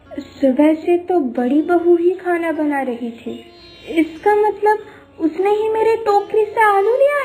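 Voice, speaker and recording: Woman acting a very high-pitched, whiny young sister-in-law. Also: a little mellow. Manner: complaining, sarcastic, melodramatic. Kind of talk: Hindi animated moral story acting.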